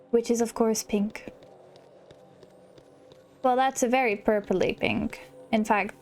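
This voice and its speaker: A woman's voice: on the high side, a little mellow. A woman hosting a gaming video with chatty, giggly, self-mocking commentary. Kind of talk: casual let's play commentary, reading game dialogue aloud.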